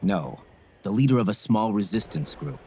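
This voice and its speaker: An actor playing a grim, detached warrior in a video game, speaking huskily in clipped, solemn phrases. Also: male voice, expressive, moderate pace, warm tone, slight background noise. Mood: detached, businesslike. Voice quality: husky, low. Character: grim, detached male warrior on a secret mission. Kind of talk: cinematic video game acting.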